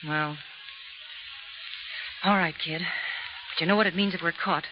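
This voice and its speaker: A woman's voice: on the low side, fairly even intonation, a little slow, noisy background, lightly acted. An actress playing a tough, slangy female convict who shares a cell, in a vintage radio drama. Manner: hardboiled slangy, clipped. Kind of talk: 1940s radio acting. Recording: dull lo-fi old radio transcription.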